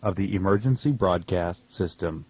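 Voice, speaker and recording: A man's voice: deep voice, normal speed, darkish tone, soft background music, low-quality recording. A man reading a formal, scripted emergency test announcement for radio.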